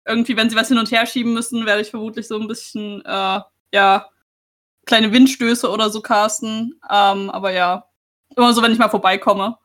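A woman's voice: a little high, fairly even intonation, normal speed, a bit bright. A woman, talking casually as a player in a tabletop role-playing game.

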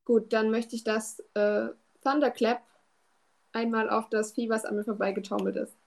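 A woman with a fairly high voice plays a tabletop roleplaying game. She speaks casually and a little tentatively, feeling out her moves with questions. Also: little pitch movement, moderate pace, vocal fry.

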